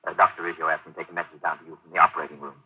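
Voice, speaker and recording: An actor playing a steady hospital medic, clinical and matter-of-fact, in a 1950s radio drama. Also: male voice, extremely low pitch, racing, husky, exaggerated voice. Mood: measured, reassuring. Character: brisk male hospital medic, businesslike and steady. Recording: muffled, tinny old radio broadcast.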